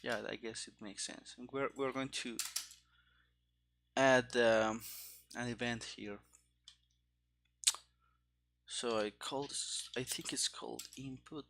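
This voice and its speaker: A man thinking aloud in a casual, halting mutter as he programs, talking partly to himself. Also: mid-range voice, fairly even intonation, creaky, fairly bright tone. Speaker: programmer narrating live coding. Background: computer keyboard typing and clicking.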